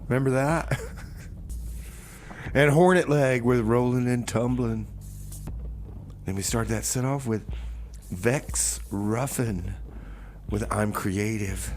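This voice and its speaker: A man's voice: medium pitch, slow pace, long pauses, darkish tone. Male community radio host, mid-range voice, casual and skeptical banter, a little background noise.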